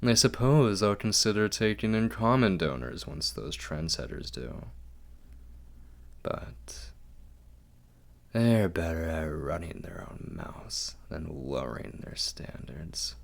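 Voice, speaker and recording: A man playing a refined, doting, fastidious vampire lord in an intimate ASMR roleplay, speaking formally and coaxingly in a gravelly, often whispery voice. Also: deep voice, big pitch swings, exaggerated voice. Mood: possessive, aloof. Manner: formal, measured, softly coaxing.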